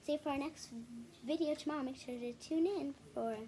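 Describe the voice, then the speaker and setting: High-pitched female storyteller, noisy recording. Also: dark tone. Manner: halting, self-correcting, apologetic while showing pages. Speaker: children's read-aloud video host. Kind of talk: picture book read-aloud with casual asides to viewers.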